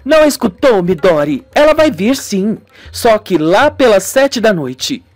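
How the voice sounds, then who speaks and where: Radio serial part: man as scheming young sister, high, swooping voice, emphatic and coaxing. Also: sing-song, a little slow, no room sound, soft background music, exaggerated voice. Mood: pleased, boastful. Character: young Japanese woman, bossy, eager, scheming sister. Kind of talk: radio melodrama acting.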